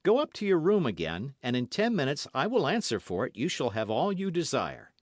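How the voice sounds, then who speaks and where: A man's voice: on the high side, wildly swooping pitch, a little fast, dry recording, clean studio sound, pitched above the performer's own voice. A man acts out a bold swordsman hero of an adventure audiobook. He declaims in a raised, swooping voice, commanding and courteous, with a firm edge. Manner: emphatic, declamatory.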